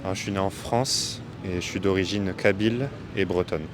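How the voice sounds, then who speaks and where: Young guy with a low voice thinking out loud, lots of euh, loud traffic around. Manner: measured, reasoning step by step, many hesitations. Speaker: passer-by interviewee. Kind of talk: street interview answer. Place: busy city square outdoors. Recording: street traffic noise.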